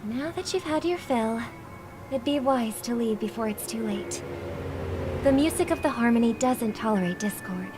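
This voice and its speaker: Woman acting a high-voiced, poised, earnest young sister. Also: lively intonation, moderate pace, background noise, close to an everyday voice. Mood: cool, guarded. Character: gentle, earnest, composed young sister, quietly firm. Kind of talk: video game voice acting. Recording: music under the voice. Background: game music.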